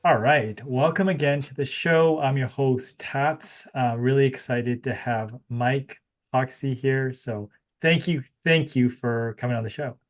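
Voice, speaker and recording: A man hosting a podcast interview, asking relaxed questions with a lot of fillers. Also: mid-range voice, unhurried, soft background music. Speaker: podcast host. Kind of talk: casual interview.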